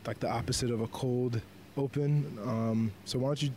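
Man, podcast host, casual, friendly, joking, mellow voice. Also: medium pitch, normal speed, slight background noise. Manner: friendly, joking, speeds up when excited. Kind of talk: casual interview chat.

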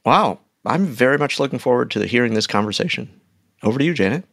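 A man co-hosting a podcast with a gravelly, creaky voice at a middle pitch. He talks in a thoughtful, musing way, and the recording is close and dry, with no room sound. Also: expressive, fast, fairly bright tone, dry recording. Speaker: podcast co-host. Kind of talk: conversational podcast talk.

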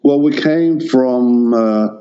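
A man's voice: mid-range voice, slow pace, warm tone, lo-fi sound. An older man born in the Netherlands whose first language is Dutch, answering interview questions hesitantly, with many restarts and fillers. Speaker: artist guest.

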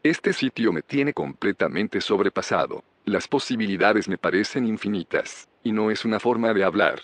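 A man's voice: on the low side, lively intonation, normal speed, no room sound. A man playing an eager, easily amazed scientist in an audio drama, speaking earnestly and technically. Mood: fascinated. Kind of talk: Spanish audio fiction acting. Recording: clearly noisy background.

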